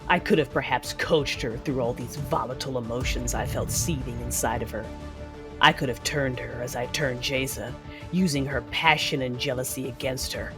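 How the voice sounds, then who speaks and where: A woman's voice: extremely low pitch, normal speed. A woman playing a cold, vengeful female Sith lord in an audio drama, controlled and menacing as she narrates her deeds. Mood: brooding. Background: music.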